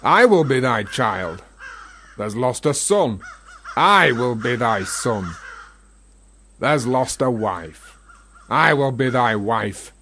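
Slow, brooding, bitter gravedigger. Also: male voice, medium pitch, animated, pushed and bright, slight background noise, heavily acted. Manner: brooding, rhetorical, incantatory. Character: bitter, obsessive philosophical gravedigger, a working man. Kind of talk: radio play monologue acting.

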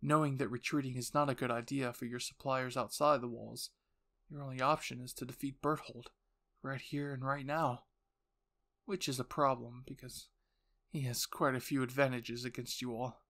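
A man with a medium-pitched voice narrates a scripted roleplay. He tells the story in the second person, with dramatic storytelling delivery. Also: steady tone, moderate pace, a bit bright. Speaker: narrator and channel host.

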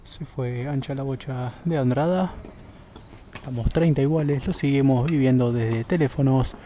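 Argentine man commentating over clear background noise. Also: mid-range voice, moderate pace, warm tone. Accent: Argentine Spanish. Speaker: amateur tennis match commentator. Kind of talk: live sports commentary. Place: outdoor clay tennis court at night. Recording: noisy amateur camera audio, clicks.

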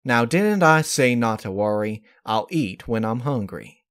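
A man's voice: mid-range voice, animated, unhurried, a bit bright. A man voicing an easygoing, stubborn middle-aged country mother pony in a story reading, folksy and plain-spoken. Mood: gently stubborn. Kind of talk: dramatized fan-fiction reading.

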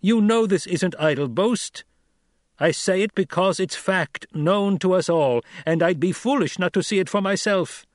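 A man voicing a young male desert leader who speaks forcefully and questions his listeners rhetorically, in an audiobook. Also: sits high, measured pace, no room sound, studio quality, voice pushed up. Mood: commanding. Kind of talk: audiobook character voice.

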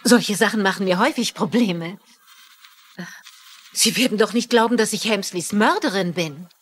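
A woman's voice: medium pitch, moderate pace, slight background noise, close to an everyday voice. An actress playing a coy, shameless woman writer of scandalous books in a dubbed TV crime drama. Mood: breezy, dreamy. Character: coquettish, shameless female romance author under suspicion.

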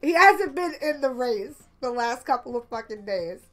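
A young woman with a slightly raspy voice from being sick, chatting casually and swearing as she plays a racing game on a headset mic. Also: fairly high pitch, lively intonation, moderate pace, music in the background. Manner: chatty, swears often, loud outbursts at the game. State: a little sick. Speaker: gaming channel host. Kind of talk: casual let's-play commentary.

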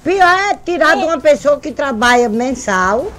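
A woman's voice: high-pitched, animated, normal speed, a bit bright. An older woman, co-hosting a comic news show in a small TV studio, who drawls and laughs often. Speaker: comedy news show co-host. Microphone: desk microphone.

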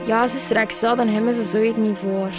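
A woman's voice: a little high, fairly even intonation, moderate pace, lightly acted. A woman playing a gossipy, disapproving nun in an amateur radio play. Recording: very loud music behind.

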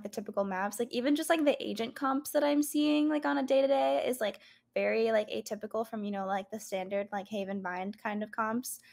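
A woman's voice: mid-range voice, creaky. A woman who plays esports professionally, answering casually in an interview. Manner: casual, with many likes. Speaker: pro esports player. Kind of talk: video call interview.

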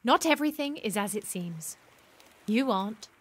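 An actress playing a kind, teasing castaway peacemaker in a Regency audio drama, playful and coaxing. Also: female voice, on the high side, lively intonation, normal speed, a bit bright, breathy edge. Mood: earnest, coaxing.

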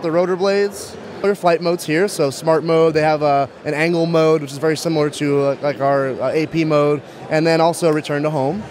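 A man in his thirties demonstrating a product in an interview, speaking into a handheld microphone at a trade show. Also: on the high side. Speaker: brand manager demonstrating a product. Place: busy trade show floor.

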